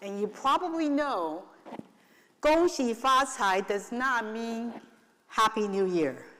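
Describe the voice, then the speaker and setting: A woman's voice: a little high, lively intonation, takes it slowly, a bit bright, slight background noise. A woman from Taiwan, an attorney hosting her firm's client event, giving a playful stage speech and getting the audience to repeat phrases after her. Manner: playful, leads the audience in call and response. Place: large event hall. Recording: long reverb of a large hall.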